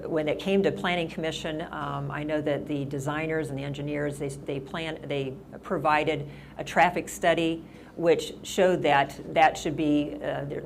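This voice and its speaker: A woman's voice: low voice, gravelly, slight background noise. A middle-aged woman mayor talking casually at a senior center, rambling with lots of restarts and fillers. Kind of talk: casual town-hall talk.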